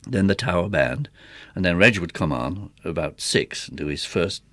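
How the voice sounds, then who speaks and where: A man's voice: low-pitched, expressive, normal speed, fairly bright tone, dry recording. An older man from northern England, chatting in anecdotes as he reminisces as an interview guest. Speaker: interview guest, theatre organist.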